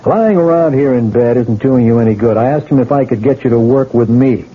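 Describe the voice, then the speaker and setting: An actor playing a skeptical, blunt police lieutenant in a 1970s radio drama, matter-of-fact and probing. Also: male voice, mid-range voice, brisk, lightly acted. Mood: firm. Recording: tinny old radio broadcast with faint hiss.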